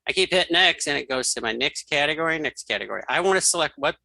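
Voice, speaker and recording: A man giving a casual, step-by-step software tutorial, informally narrating what he does as he goes. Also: on the high side, expressive, quick pace, creaky, no room sound. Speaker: tutorial host.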